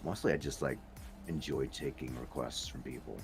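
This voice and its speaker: A man's voice: very deep voice, normal speed, over music. A man with a Scottish accent hosting a casual chat podcast, who slips into accents as he talks. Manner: slips into accents while talking. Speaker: podcast host.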